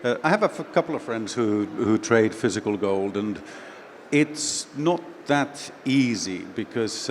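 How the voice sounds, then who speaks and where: A man's voice: on the low side, a little hiss or hum. Man with a light Finnish accent. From Finland. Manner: relaxed, conversational, with fillers. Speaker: panelist, company CEO. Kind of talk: conference panel talk. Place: expo stage.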